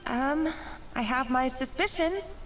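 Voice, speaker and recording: A woman's voice: high-pitched, animated, takes it slowly, fairly bright tone, soft background music, exaggerated voice, rough recording. An actress voicing a timid, guilt-ridden young female cook in a video game, hesitant, anxious and apologetic. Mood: subdued, earnest. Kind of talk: scripted game voice acting.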